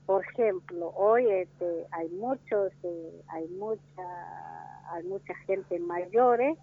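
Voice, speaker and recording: A woman whose first language is Wichí, from northern Argentina, answering interview questions slowly, with many restarts and fillers. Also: lowish voice. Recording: tinny phone line.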